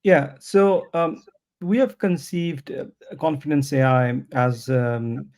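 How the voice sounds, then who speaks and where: Non-native english guy explaining his app, lots of ums and restarts, careful and slow. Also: mid-range voice, darkish tone. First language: not English. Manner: hesitant, many ums and restarts, slow and thoughtful. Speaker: startup founder, interview guest. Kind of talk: podcast interview.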